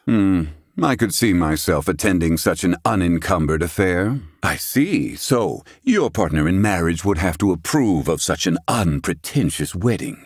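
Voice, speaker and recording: A proud, gruff but kindly older mage from a fantasy video game. His voice sits low and speaks in stately, bookish sentences that often end on a clipped questioning tag. The sound is dry, with no room around it. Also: no room sound. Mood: teasing, dry. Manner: formal, wordy, archaic phrasing with clipped questioning tags. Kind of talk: English-dub video game voice acting.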